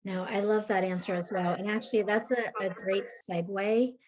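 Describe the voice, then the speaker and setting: A woman's voice: mid-range voice, steady tone, moderate pace. A woman moderating a panel, affirming the speakers and passing the turn. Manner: warm, affirming, brief. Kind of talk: panel discussion.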